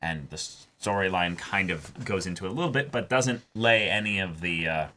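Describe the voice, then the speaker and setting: A man co-hosting a reaction show, talking through his analysis casually in a halting, emphatic way. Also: deep voice, lively intonation, sharp tone, a little hiss or hum.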